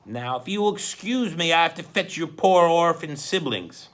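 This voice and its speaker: Man acting a menacing, croaking storybook henchman. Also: fairly high pitch, lively intonation, moderate pace, fairly bright tone, pitched above the performer's own voice. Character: menacing hook-handed henchman, sneering threatening man.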